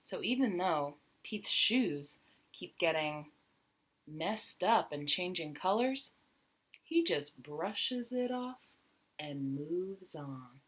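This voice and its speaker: A woman reading a children's picture book aloud expressively, stretching key words and dropping into quieter asides with questions for young listeners. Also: on the low side, expressive, takes it slowly, breathy edge.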